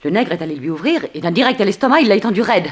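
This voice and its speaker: A woman's voice: mid-range voice, lively intonation, rapid-fire, husky. An actress in a 1960s French radio drama playing an earnest, refined captive woman, formal and dramatic in her telling. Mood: dramatic, urgent.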